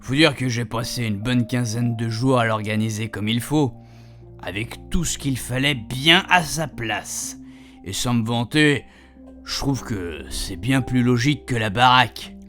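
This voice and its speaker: A man's voice: medium pitch, a little slow, a bit bright, natural voice. An actor playing a chatty, proud retired husband in a radio fiction, rambling colloquially and speaking straight to his reader. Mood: fond, boastful. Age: older. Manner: colloquial, digressive, addressing the reader directly. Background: music.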